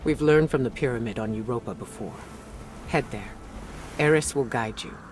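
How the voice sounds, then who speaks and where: A woman playing a composed, analytical spymaster in a video game, serious and deliberate as she leads an investigation. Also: rumbling low, darkish tone, slight background noise. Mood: thoughtful gravity. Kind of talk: dramatic video game cutscene acting.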